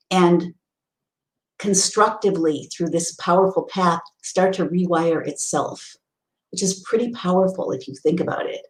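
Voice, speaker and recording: Low-voiced woman teaching in an echoey room. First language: English. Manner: earnest, explanatory, with many restarts and Hebrew readings. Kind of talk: spiritual teaching talk.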